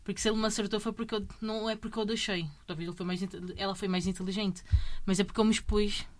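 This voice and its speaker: A woman's voice: medium pitch, fairly even intonation, fast. Chatty woman with mixed Brazilian-European Portuguese accent. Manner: chatty, restarts sentences, tags with "entende?". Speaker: MMA fighter, guest. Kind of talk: casual interview conversation.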